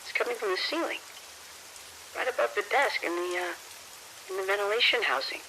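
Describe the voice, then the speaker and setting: An actor playing a frightened, jittery male security officer in a horror audio drama, halting and stammering. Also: high voice, bright, forceful tone, full character voice, low-quality recording. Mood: terrified. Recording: radio ambience with rain-like noise.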